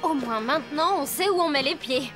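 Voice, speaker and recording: A woman playing a clever, assertive young heroine in a children's animated adventure, with music behind her. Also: high-pitched. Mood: assured. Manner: brisk, assertive. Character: clever, bossy, resourceful young heroine girl. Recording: music underneath.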